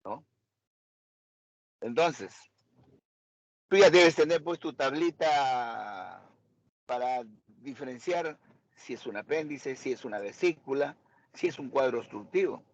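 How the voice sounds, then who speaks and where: Gravelly, husky male doctor lecturing. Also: mid-range voice, lively intonation, takes it slowly, long pauses, no echo, highs cut off. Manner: questioning, quizzes students. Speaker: doctor teaching a seminar. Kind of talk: interactive medical lecture.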